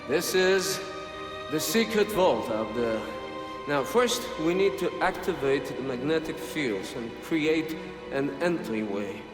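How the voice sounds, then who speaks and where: Elderly scholar, high-pitched. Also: male voice, lively intonation. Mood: eager, instructive. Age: older. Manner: halting, repetitive, non-native phrasing. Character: elderly male mystic scholar, gentle, eccentric guardian of ancient secrets. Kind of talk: video game cutscene acting. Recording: echoey hall with music and game effects.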